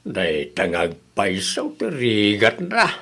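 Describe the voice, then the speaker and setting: An Ilocano father played by an actor in a comedy audio drama, with very animated, swinging intonation. He is mild and reasonable, putting in conciliatory remarks and advice. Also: on the low side, takes it slowly, vocal fry, husky, exaggerated voice. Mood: advising.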